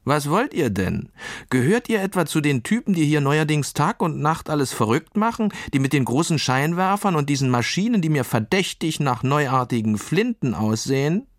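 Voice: mid-range voice, very animated, moderate pace, dry recording, clean studio sound, voice pushed up. A storyteller voicing a haughty, suspicious male fox with a mocking, nagging way of talking. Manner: mocking, nagging, rattles off questions.

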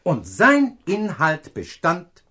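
Pompous, husky professor detective. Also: male voice, high-pitched, wildly swooping pitch, takes it slowly, pushed and bright, exaggerated voice. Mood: smug, expository. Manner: pedantic, theatrical, lecturing. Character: pompous, self-assured male professor detective expounding a case. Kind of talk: German radio crime play acting.

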